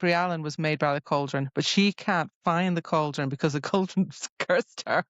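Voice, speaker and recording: A woman's voice: low-pitched, moderate pace, no room sound, highs cut off. A woman co-hosting a podcast in a casual book discussion, retelling things animatedly with mock quotes. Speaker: podcast co-host.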